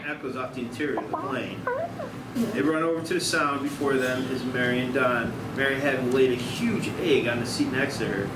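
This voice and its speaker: A man's voice: medium pitch, steady tone, a little fast, noisy background. A man reading out a screenplay's stage directions as narrator at a table read. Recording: echoey, distant mic, loud music behind.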